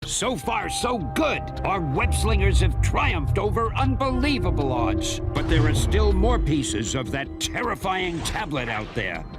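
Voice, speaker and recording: A man's voice: high-pitched, sing-song, measured pace, loud music behind the voice, full character voice. A man playing a grandiose narrator for a superhero video game, dramatic and portentous. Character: grandiose male storybook-style superhero narrator.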